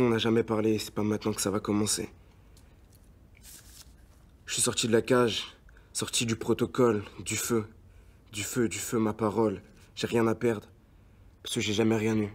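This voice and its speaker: A man's voice: mid-range voice, close to an everyday voice. An actor playing a young man born in France, performing a slam poem with punchy rhythm and street slang. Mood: subdued. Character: angry, restless young man full of pent-up rage. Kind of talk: performed slam poetry, film drama.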